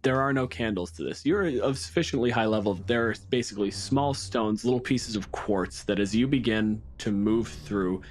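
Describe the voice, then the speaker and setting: A man narrating a tabletop game as game master, describing scenes in deliberate, vivid phrases. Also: on the low side. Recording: music behind the voice. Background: background music.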